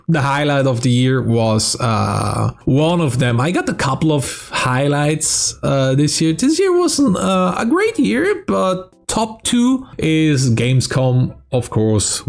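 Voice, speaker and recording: Slow, gravelly young man with German accent. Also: mid-range voice, sing-song, a little mellow. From South Tyrol, Italy. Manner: casual, swears often, laughs between answers. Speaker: streamer answering fan questions. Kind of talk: casual Q&A vlog.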